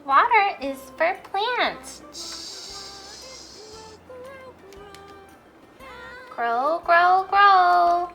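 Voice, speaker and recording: A woman's voice: extremely high pitch, lively intonation, extremely slow, muffled recording, soft background music, full character voice. A woman voicing an eager, playful small child puppet in a children's show, chanting short simple sentences. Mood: bright, simple.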